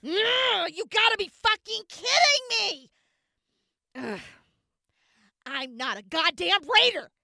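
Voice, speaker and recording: A woman's voice: extremely high pitch, sing-song, slow, vocal fry, pauses often, sharp tone, no room sound, clean studio sound, heavily acted. A woman voicing a hot-tempered, foul-mouthed traveler who speaks bluntly and with exasperation. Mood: angry.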